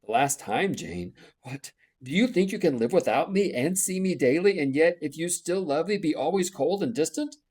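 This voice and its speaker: A man reading an audiobook, voicing a passionate middle-aged gentleman who pleads and storms. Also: on the high side, lively intonation, normal speed, few pauses, breathy edge, pitched above the performer's own voice. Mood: pleading. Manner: impassioned, pleading, rising to loud outbursts.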